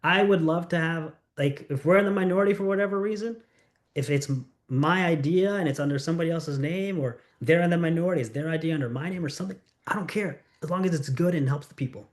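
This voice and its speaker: A middle-aged man from the United States, a congressional candidate answering interview questions in an earnest, storytelling way. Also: fairly high pitch. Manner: earnest, storytelling, quick asides.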